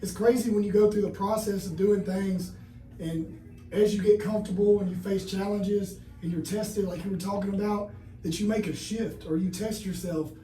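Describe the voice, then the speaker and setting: A thirty-year-old man hosts a relaxed podcast conversation, his voice high yet very dark and mellow in tone. He speaks in a laid-back, agreeable way. The sound is roomy, with the mic placed at a distance. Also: moderate pace. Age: 30s. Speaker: podcast host. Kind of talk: casual interview chat. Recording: echoey room, mic at a distance.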